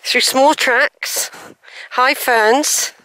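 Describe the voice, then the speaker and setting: A woman's voice: high-pitched, steady tone, drawn-out words, bright, forceful tone, no room sound, poor audio. Chatty woman rambling outdoors. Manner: rambling, conversational, self-correcting asides. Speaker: walking vlogger. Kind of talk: chatty walking commentary to camera. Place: open hilly fields outdoors. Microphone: handheld camera mic while walking.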